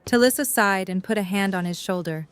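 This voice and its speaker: A woman's voice: medium pitch, brisk, clear, resonant tone, no echo. A woman narrating a scripted story as the narrator of an audio drama.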